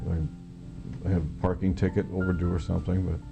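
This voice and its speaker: A low-voiced, mature American man tells his own story in an interview setting. His delivery is heavily creaky and very even, with plainspoken, halting phrasing. Guitar music plays clearly behind him. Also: highs cut off. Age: middle-aged or older. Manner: halting, plainspoken, matter-of-fact. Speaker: interviewee, former Air Force air traffic controller. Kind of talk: recounting a personal experience in an interview. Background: plucked guitar music.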